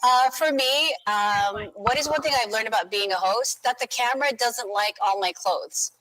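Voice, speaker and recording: A woman's voice: fairly high pitch, normal speed, bright, forceful tone, rough recording. A woman radio host moderating a panel chat, light, chatty and self-mocking.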